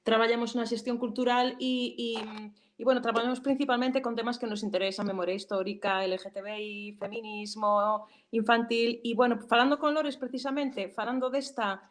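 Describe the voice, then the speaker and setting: A woman with a Galician accent speaks in a relaxed, casual way over a video call. Her voice sits a little high, and she often pauses on fillers as she talks. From Galicia, Spain. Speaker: writer and cultural manager. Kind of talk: casual conversation.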